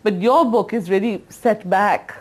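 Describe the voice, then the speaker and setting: A woman interviews a guest on television with a middling pitch. She presses with direct follow-up questions and mixes in gentle teasing. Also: measured pace, husky. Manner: probing, frank questions with light teasing. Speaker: TV interviewer host. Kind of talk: TV interview conversation.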